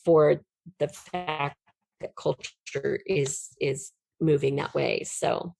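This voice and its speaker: A middle-aged woman giving an earnest, emphatic and expressive explanation as a guest in a conversational interview. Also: low-pitched, slow, vocal fry, a little hiss or hum. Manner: earnest, emphatic, expressive storytelling with illustrative metaphors. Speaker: podcast guest, writer.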